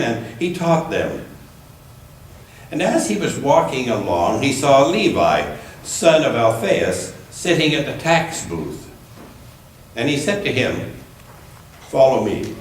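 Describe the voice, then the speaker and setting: A very echoey recording, picked up at some distance from the mic, carries a man reading scripture in church. His delivery is slow and deliberate, with careful phrasing suited to reading aloud. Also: mid-range voice. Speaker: scripture reader at a church service. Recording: echoey room, distant mic, faint noise.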